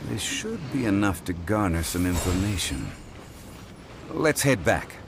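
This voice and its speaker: A man playing a composed, learned gentleman in a video game, speaking in a measured, formal and scholarly way. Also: low-pitched, very animated, creaky, background noise, full character voice. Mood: pensive, resolute. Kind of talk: English video game voice acting.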